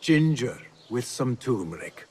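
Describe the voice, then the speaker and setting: A man playing an earnest, thoughtful young assassin in a video game cutscene, speaking gently and sincerely. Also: medium pitch, very animated, measured pace, slightly rough. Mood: friendly.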